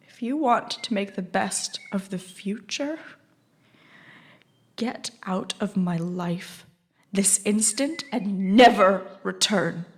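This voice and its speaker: A woman's voice: medium pitch, slightly rough, exaggerated voice. A woman playing a wounded, blunt, foul-mouthed young woman in a fantasy audio drama.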